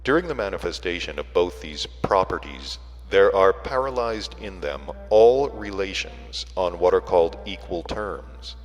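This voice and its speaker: A man reading a literary audiobook in a deliberate way, with frequent pauses between phrases. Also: low-pitched, lively intonation. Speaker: audiobook reader. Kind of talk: scripted literary narration. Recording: reverberant hall sound with music.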